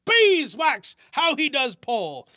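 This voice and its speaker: Flustered nearsighted old fisherman, whimpering exclamations, high and bright, a man reading it. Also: huge pitch swings, slow pace, no room sound, studio quality, heavily acted. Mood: excited.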